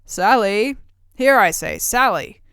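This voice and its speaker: A woman voicing a greedy, gruff man who eats children, speaking in an old-fashioned country dialect. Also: fairly low pitch, lively intonation, normal speed, no room sound, studio quality.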